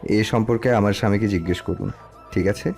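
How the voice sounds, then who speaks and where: A man playing a protective husband in an audio story, speaking softly with gentle authority as he instructs his wife. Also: lowish voice, fairly even intonation, a little fast, creaky, soft background music. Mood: calm, instructing. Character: soft-spoken, protective, older husband instructing young wife. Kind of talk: Bengali audio story acting.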